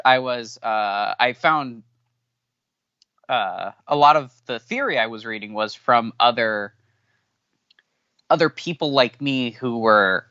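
A man whose first language is not English answering interview questions candidly, halting with many fillers and restarts. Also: mid-range voice, bright, forceful tone, no room sound. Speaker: podcast guest. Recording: dull lo-fi audio.

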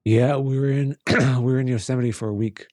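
A man co-hosting a podcast over a call, cracking deadpan teasing jokes in casual chat. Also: mid-range voice, fairly quick, no room sound. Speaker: podcast co-host.

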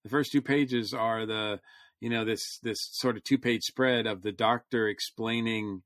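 A man's voice: lowish voice, fairly even intonation, unhurried, a bit bright, no room sound, studio quality. A man co-hosting a podcast, chatting casually like an enthusiastic fan. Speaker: podcast co-host reviewing comics. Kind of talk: casual chat.

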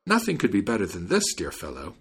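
Cheeky folk-tale servant read by a man, animated and a touch husky, smug and teasing. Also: lowish voice, fairly quick, exaggerated voice. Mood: pleased. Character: cocky, mischievous male servant in a folk tale.

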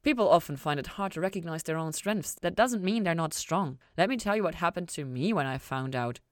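A frank female therapist character in an audiobook-style story, acted out by a woman reader. Her voice sits a little low in a dry recording, and she confides in an emphatic, reassuring, conversational way. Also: animated, fairly quick, dry recording, close to an everyday voice. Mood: confessional.

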